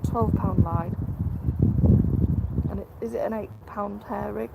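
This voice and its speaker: A woman talks in a low, croaky voice, hoarse from a cold, with a gravelly rasp to her tone. She chats casually to live-stream viewers from beside a lake. Steady outdoor noise hisses in the background. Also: unhurried. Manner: speaks quietly, greets viewers. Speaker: vlog host live-streaming fishing.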